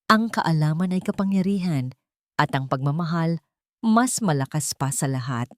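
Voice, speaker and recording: A woman's voice: low-pitched, expressive, normal speed, darkish tone, dry recording, clean studio sound. A woman with a Filipino accent voicing a loving, wise old grandmother in a story, tender and solemn. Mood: gentle, wise.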